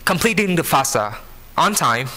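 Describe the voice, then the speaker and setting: A man in his early twenties with a Vietnamese accent, giving an earnest prepared speech to an audience, phrasing deliberately. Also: a little high, very animated, noisy background. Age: early 20s. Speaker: scholarship program student speaker. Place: live benefit event before an audience.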